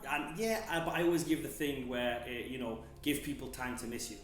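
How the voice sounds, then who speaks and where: A man in his thirties, a gym owner chatting relaxed as an interview guest, often restarting and repeating words. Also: medium pitch, fairly quick. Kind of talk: casual interview chat. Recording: very echoey room, faint music.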